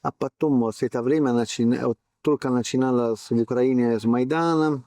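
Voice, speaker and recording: A man from Italy with an Italian accent, telling his story in an interview in halting, non-native speech. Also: medium pitch, fairly even intonation, mellow, dry recording. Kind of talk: personal account in interview.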